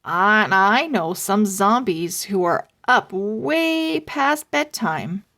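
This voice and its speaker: A woman's voice: mid-range voice, lively intonation, drawn-out words, bright, forceful tone, exaggerated voice. A woman voicing a practical mother with a firm, wry manner in a children's storybook. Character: practical mother playing along as zombie lunch lady.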